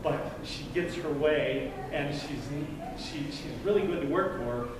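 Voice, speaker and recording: An older man in the audience, speaking earnestly and haltingly with many restarts and repeated words. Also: fairly high pitch, a crowd in the background. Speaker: audience member. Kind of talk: audience question, rambling. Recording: distant, echoey room with noise.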